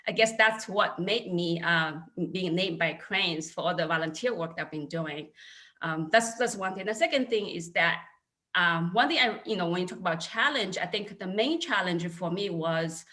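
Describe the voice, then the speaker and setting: Low-pitched bright woman, Chinese accent. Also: normal speed. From China. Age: 30s. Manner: earnest, candid storytelling. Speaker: panelist, accounting manager. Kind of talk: casual panel talk.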